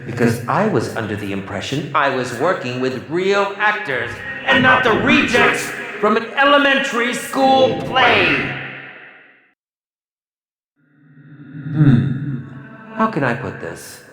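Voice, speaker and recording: A cruel, pompous movie director from a video game, high-voiced and swooping wildly between sneer and shout. He draws his words out slowly with theatrical, sarcastic condescension, ringing through a reverberant hall. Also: sing-song, background noise. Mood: scornful, sarcastic. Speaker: film director and announcer character in a video game. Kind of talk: video game villain announcer voice acting. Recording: echoey hall reverb over music.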